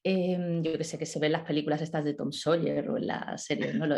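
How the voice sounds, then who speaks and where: A middle-aged woman from Málaga, a guest telling stories in an interview, often adding "¿no?". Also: sits low. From Málaga, Spain. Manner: warm storyteller, many "¿no?" tags. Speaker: guest, nutrition specialist. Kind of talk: relaxed storytelling interview.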